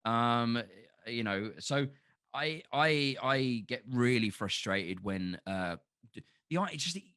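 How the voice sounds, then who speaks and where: A man's voice: mid-range voice, animated, slow pace, bright, forceful tone, clean tone, dry recording, studio quality. A middle-aged man from London with a Cockney accent, speaking passionately as a podcast guest with restarts and the odd swear word. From London, England. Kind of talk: casual podcast conversation.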